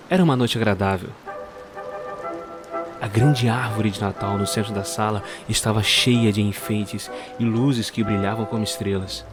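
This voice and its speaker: A man narrating a scripted storybook tale for an audio drama in a measured storyteller's manner. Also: medium pitch.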